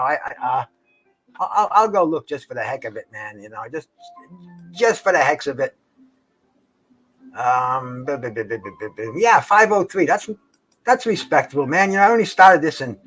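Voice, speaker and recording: An older man, in his sixties or beyond, hosting a casual live stream in slangy, profane, halting speech with repeated words. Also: fairly high pitch, fairly bright tone, low-quality recording. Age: 60s or older. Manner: slangy, profane, says "man" and "bro" often. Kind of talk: rambling casual chat to livestream viewers. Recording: jazz music playing behind the voice. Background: jazz concert recording.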